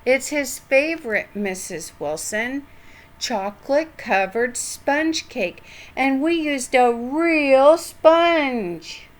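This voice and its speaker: Storybook role: excitable, cheeky young boy, high and unhurried, played by a woman reader. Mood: proud. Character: eager, cheerful young mischievous boy. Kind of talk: children's storybook character voice.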